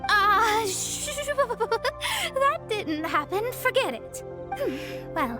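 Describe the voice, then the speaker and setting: A woman's voice: very high voice, sing-song, drawn-out words, exaggerated voice. A woman playing a proud young female mage in a video game, self-important and lecturing. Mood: flustered. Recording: game music behind the voice.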